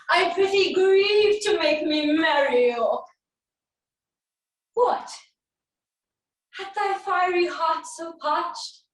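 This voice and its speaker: A vengeful warrior queen in a Shakespearean stage monologue, her voice high and echoing around the room. She taunts with theatrical relish, drawing out her words slowly and mockingly. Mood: gleeful taunting. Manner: theatrical, taunting, drawn-out delivery with swings. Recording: echoey room, distant microphone.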